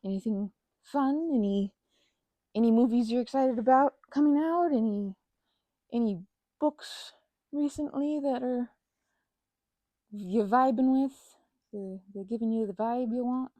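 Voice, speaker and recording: A woman's voice: on the high side, a little slow, pauses often, dark tone, dry recording. A woman in a casual ASMR roleplay, chatting informally as a best friend and often interrupting herself mid-thought. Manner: rambling, self-interrupting, informal and conversational.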